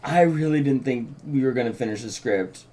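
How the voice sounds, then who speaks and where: A man's voice: mid-range voice, a little fast, mellow. A man co-hosting a show in casual, informal conversation. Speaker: show co-host. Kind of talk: casual co-hosted chat.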